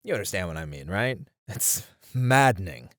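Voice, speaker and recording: A man's voice: low voice, big pitch swings, normal speed, fairly bright tone, slight background noise. A man playing a weary, frustrated detective in an ASMR roleplay, talking conversationally to a partner and thinking aloud. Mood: exasperated, venting. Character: weary, frustrated male detective venting about a serial case. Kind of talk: ASMR roleplay acting, spoken to an unheard partner.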